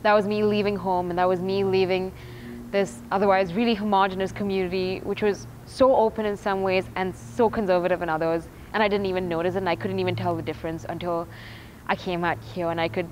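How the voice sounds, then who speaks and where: A teenage girl from India giving a documentary interview, reflective and halting, restarting her words as she thinks. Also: medium pitch, flat delivery, a little mellow, slight background noise, poor audio. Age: teens. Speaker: student interviewee.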